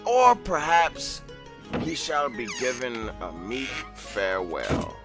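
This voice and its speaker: A man's voice: medium pitch, wildly swooping pitch, slow, creaky, over music, exaggerated voice. A man playing a grandiose keeper of tales. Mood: theatrical. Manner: grandiose, sweeping delivery. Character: theatrical male keeper of tales in an afterlife tavern. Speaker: narrator.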